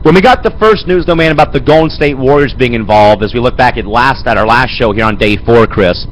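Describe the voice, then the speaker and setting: Raspy, hoarse young man hosting. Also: mid-range voice, a little fast, slight background noise, rough recording. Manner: rambling, fills with "man" and "Chris". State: hoarse with a head cold. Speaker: host. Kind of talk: amateur sports show hosting. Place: home studio.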